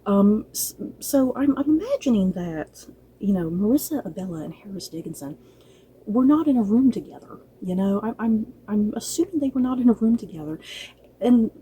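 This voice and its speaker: A woman's voice: mid-range voice, expressive, moderate pace, dark tone, slight background noise, low-quality recording. A woman giving a casual, chatty review, speaking in a gushing, emphatic and informal way. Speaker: book and drama reviewer.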